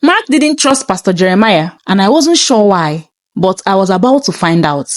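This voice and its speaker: A woman's voice: mid-range voice, animated, normal speed, dry recording, clean studio sound. A woman reading a scripted first-person story in a dramatic, suspenseful manner.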